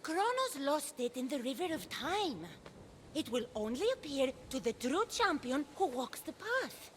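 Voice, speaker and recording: Feisty high-voiced little goddess. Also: female voice, very animated, a little slow, a little hiss or hum. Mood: commanding. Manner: feisty, imperious, childlike. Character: small, angry, bossy child goddess Athena. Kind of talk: animated video game voice acting.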